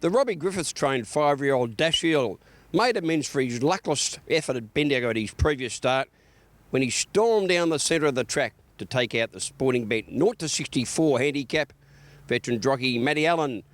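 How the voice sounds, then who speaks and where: An Australian man delivers a scripted racing report. His voice sits at a middling pitch and is recorded dry, with no room around it. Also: animated, moderate pace, no room sound. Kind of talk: scripted sports report narration.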